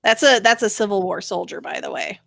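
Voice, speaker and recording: A woman hosting a casual talk show, chatting in a halting, wandering way. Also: medium pitch, lively intonation, vocal fry, sharp tone. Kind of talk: casual conversational commentary.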